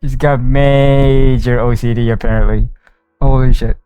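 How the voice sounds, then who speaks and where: A man's voice: mid-range voice, steady tone, measured pace, warm tone, smooth, no echo. A man streaming a video game, casually commenting and reading dialogue aloud into a close mic with game music behind.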